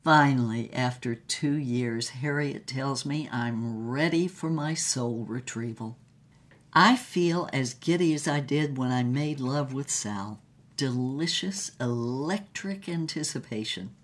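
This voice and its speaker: An actress playing a giddy, dreamy woman adventurer, telling her psychedelic tale in dramatic, expressive first person. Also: extremely low pitch, takes it slowly, full character voice. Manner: theatrical, expressive. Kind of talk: dramatic first-person narration with poetic recitation.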